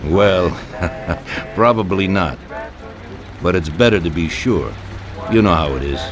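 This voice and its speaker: An actor playing a genteel older mafia boss, courteous and quietly authoritative, in a video game. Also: male voice, low voice, expressive, measured pace, crowd noise. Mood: genial authority. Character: genteel, commanding older male mafia Don. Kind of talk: video game cutscene acting.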